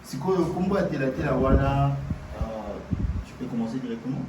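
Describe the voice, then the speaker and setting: A man's voice: medium pitch, lively intonation. A man from Kinshasa, talking hesitantly as a guest musician in an interview. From Kinshasa, Congo. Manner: hesitant, halting, many fillers. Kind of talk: casual interview talk. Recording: distant echoey noisy remote line.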